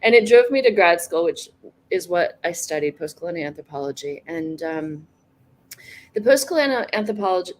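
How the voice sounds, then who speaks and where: A woman in her forties, a guest in a casual online conversation, talking in a thoughtful, soft-spoken way and laughing easily. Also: fairly low pitch, animated, moderate pace. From United States.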